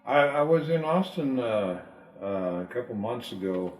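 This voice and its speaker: A man hosting an interview, asking questions in a halting way with many hesitations. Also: mid-range voice, lively intonation. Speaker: interviewer, host. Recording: echoey room.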